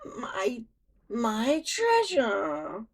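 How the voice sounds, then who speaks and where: A woman voicing a treacherous, greedy male villain in a storybook, with drawn-out, melodramatic pleading. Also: on the high side, wildly swooping pitch, voice pushed up, heavily acted. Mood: wailing despair.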